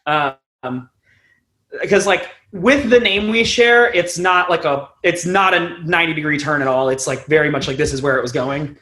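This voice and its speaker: A man's voice: a little high, normal speed, bright, forceful tone. A young adult man talking as an interview guest in a casual, rambling, informal way, with some loose swearing. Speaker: band frontman, podcast guest.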